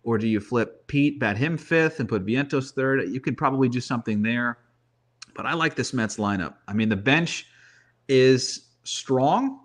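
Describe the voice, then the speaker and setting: A man's voice: mid-range voice, lively intonation, measured pace. A man giving off-the-cuff sports analysis in casual talk, conversational, often repeating words as he thinks aloud. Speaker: baseball commentator, guest analyst.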